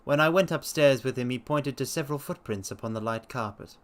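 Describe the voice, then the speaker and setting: A man voicing a sober Victorian doctor who recounts a strange incident in formal, measured storytelling. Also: mid-range voice, lightly acted. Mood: sober, concerned. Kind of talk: in-character narration of a detective story.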